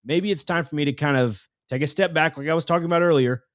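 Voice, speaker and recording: A man's voice: on the high side, quick pace, a bit bright, no room sound. Guy co-hosting sports talk, earnest, explains stuff, restarts a lot, very dry room sound. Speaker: sports talk show co-host. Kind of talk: conversational sports commentary.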